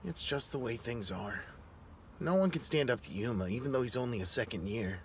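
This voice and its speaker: A man's voice: mid-range voice, a little hiss or hum, close to an everyday voice. A man doing a jumpy, stammering teen boy. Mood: nervous. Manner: nervous, stammering, quick to shout with excitement. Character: timid, excitable teen boy, the lone sumo club member. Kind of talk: English anime dub acting. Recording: dub with music score behind.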